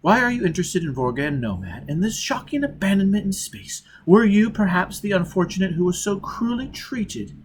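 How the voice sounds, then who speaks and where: A man's voice: high voice, a little fast, hardly any pauses, pitched above the performer's own voice. A man voicing a fat, sly, wheezy peddler, obsequious and haggling as in an audiobook. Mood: sly, probing. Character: fat, greasy, sly peddler posing as Italian cook. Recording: steady background noise.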